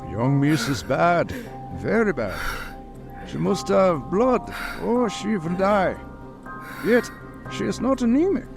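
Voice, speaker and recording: An actor playing a grave, ominous old Dutch professor with halting, solemn phrasing in a radio drama. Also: male voice, sits high, sing-song, gravelly, heavily acted. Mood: grave, alarmed. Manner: halting, foreign-sounding syntax, solemn. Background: music.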